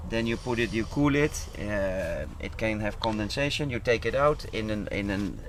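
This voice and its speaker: Halting man explaining, noisy background. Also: fairly low pitch, lively intonation. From foreigner living in the Philippines. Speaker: seed company representative being interviewed. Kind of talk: casual interview, explaining farm produce. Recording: clear background noise, vehicle sounds.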